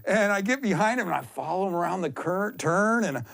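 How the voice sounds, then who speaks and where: A man in his fifties telling casual stories as an interview guest, excitable and self-deprecating, often rambling and acting out what was said. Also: high-pitched, fairly quick, gravelly. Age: 50s. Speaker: endurance athlete, interview guest. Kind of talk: casual, anecdotal interview storytelling.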